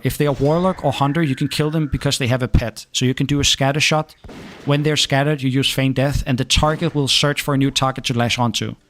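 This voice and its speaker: A man's voice: medium pitch, a little hiss or hum. A young man from Denmark with a Danish accent, chatting casually while streaming a game and answering viewer questions, often stopping to restart his sentences. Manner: halting, restarts often, relaxed. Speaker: gaming streamer.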